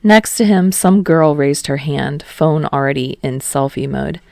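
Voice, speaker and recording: A woman reading her own fan fiction aloud as a podfic, in plain, even storytelling. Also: low voice, a little slow, creaky, dry recording, clean studio sound. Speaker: podfic reader and author.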